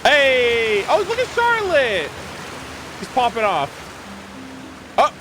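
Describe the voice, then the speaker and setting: A woman streamer giving casual, excited gameplay reactions in a slangy, teasing, expressive way. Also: a little high, slow, over music. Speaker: gaming streamer.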